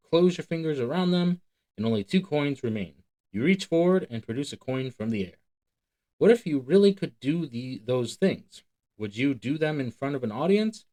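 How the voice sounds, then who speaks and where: A man's voice: fairly high pitch, darkish tone. A man hosting a channel, reading a book aloud and breaking off into casual, rambling, emphatic commentary. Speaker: host of a magic channel.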